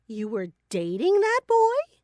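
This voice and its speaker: A bossy disapproving mom, slow emphatic talk, voice goes up and down a lot, radio play. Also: female voice, sits high, huge pitch swings, a little mellow, dry recording, studio quality, heavily acted. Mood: scolding. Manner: emphatic, scolding. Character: strict, scolding, disapproving mother of a teenager. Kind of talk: audio drama acting.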